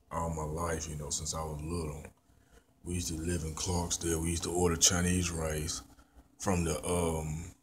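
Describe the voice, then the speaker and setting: A man's voice: low voice, slight background noise. A man in his thirties with a Memphis Southern accent, rambling and repeating himself as he reviews food while eating, filmed on a handheld phone indoors. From born in Memphis, raised in Mississippi. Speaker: vlogger. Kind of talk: casual food review vlog. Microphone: handheld phone camera at arm's length.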